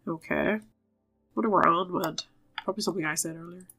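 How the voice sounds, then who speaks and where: A woman's voice: on the low side, brisk, vocal fry, pushed and bright, soft background music. A woman reading story dialogue from a video game aloud, playing the parts herself.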